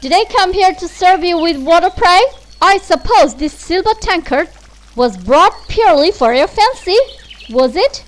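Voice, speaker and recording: A woman's voice: very high-pitched, lively intonation, normal speed, voice pushed up. A woman voicing a proud, rude, spoiled young daughter in a fairy tale read aloud. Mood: haughty. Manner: haughty, sarcastic, loud.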